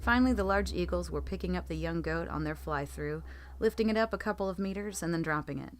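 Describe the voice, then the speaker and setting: Lower-pitched woman narrating stories, noisy recording. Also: quick pace. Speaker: narrator reading submitted stories. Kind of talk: scripted narration of creepy true stories. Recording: clearly noisy background.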